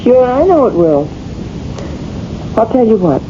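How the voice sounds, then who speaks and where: A lonely, fretting housewife with a high, plaintive voice. She fusses and nags in 1970s radio-drama style. The old recording sounds dull and noisy. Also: very animated, a little slow. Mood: plaintive, resigned. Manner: fussing, plaintive.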